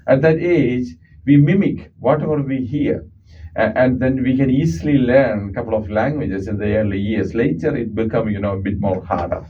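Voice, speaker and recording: A man's voice: medium pitch, moderate pace, far from the mic, dull audio, a little hiss or hum. A man from Kerala with a South Indian accent and Malayalam as his first language, explaining things earnestly as a guest on a radio interview, with frequent "uh" and "okay" fillers. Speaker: audiologist guest. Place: radio studio.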